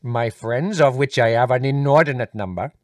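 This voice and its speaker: A man voicing an excitable, earnest male guest who speaks in a pedantic, effusive, formal way. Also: medium pitch, moderate pace, no echo, studio quality, close to an everyday voice.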